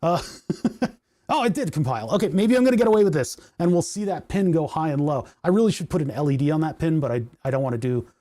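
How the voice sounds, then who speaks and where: A man hosting a casual coding livestream, rambling and chatty with frequent laughs, his voice starting to go hoarse. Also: fairly high pitch, expressive, quick pace, warm tone. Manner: rambling, chatty, laughs often, reads chat aloud. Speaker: livestream host.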